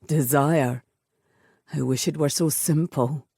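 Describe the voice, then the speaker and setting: Woman putting on a brooding cursed highland guy, low strained voice, dry sound. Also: fairly even intonation, takes it slowly, vocal fry, mellow, husky, dry recording, heavily acted. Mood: tormented.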